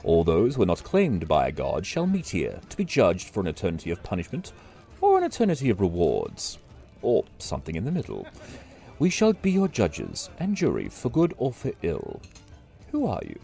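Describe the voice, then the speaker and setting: A gravelly sardonic judge. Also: male voice, low-pitched, wildly swooping pitch, moderate pace, dark tone, exaggerated voice. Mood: commanding. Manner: sardonic, commanding. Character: weary judging male afterlife tavern keeper, grim and sardonic. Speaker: afterlife judge. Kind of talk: audio drama acting.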